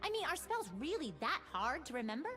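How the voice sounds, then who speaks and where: A woman's voice: high voice, very animated, brisk, music in the background. An actress voicing a cheerful, sarcastic young woman mage as playful, witty lines in a video game. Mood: playful, cheeky.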